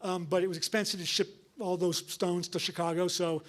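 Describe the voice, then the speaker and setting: A man's voice: high-pitched, steady tone, brisk, a bit bright. A man who wrote a history book, giving a public lecture and answering audience questions, with many fillers and restarts. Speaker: guest lecturer and author.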